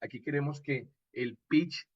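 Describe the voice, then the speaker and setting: A man giving an informal online lecture as an instructor, expressive and didactic, often checking in with short questions. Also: a little high, a little slow, slightly rough, no room sound, highs cut off. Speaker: radio drama instructor.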